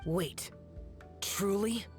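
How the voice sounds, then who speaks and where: An actor playing a stern, aloof, youthful immortal guardian in a video game, speaking formally, curtly and with disdain. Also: male voice, high voice, extremely slow. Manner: formal, archaic, curt and disdainful. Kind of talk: video game character voice acting. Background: game music.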